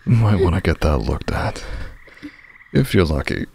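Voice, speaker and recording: An actor playing a menacing, sadistic male werewolf pack leader in an audio roleplay, with a breathy growl and a taunting, mock-polite manner. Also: big pitch swings, measured pace, darkish tone, exaggerated voice. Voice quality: very deep gravelly growl, often breathy. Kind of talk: dramatic audio roleplay acting.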